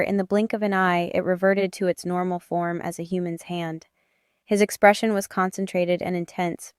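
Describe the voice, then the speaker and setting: A woman's voice: fairly low pitch, clear, resonant tone, dry recording, clean studio sound. A woman giving dramatic first-person narration of a romance audiobook.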